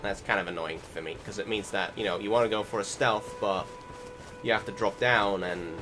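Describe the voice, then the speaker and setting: Young man, casual voice-over, low-pitched, filler-heavy rambling, clear game music behind. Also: brisk. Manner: rambling, many fillers and restarts. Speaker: gameplay commentator. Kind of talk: casual voice-over commentary on gameplay. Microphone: desktop recording setup.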